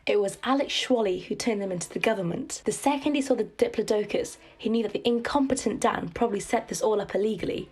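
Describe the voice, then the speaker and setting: A woman's voice: mid-range voice, fast, few pauses, darkish tone, slightly rough. A woman narrating a comic audio drama in a scripted storytelling style.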